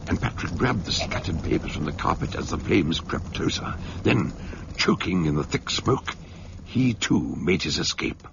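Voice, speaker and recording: An actor playing a genial, shrewd older detective and storyteller in a British radio drama, with a husky, gravelly voice and an avuncular manner. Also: male voice, low-pitched, highs cut off, a little hiss or hum, full character voice. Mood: measured narration. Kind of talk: radio drama acting and narration.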